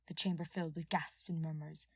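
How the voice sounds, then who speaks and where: A woman's voice: deep voice, little pitch movement, brisk, breathy edge, no room sound. A woman reading scripted dramatic fiction as an audiobook narrator.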